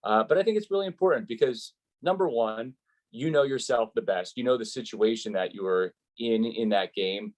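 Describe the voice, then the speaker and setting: A man's voice: medium pitch, normal speed, darkish tone. A man who works as a sports announcer, speaking as an interview guest in an articulate, explanatory, conversational way.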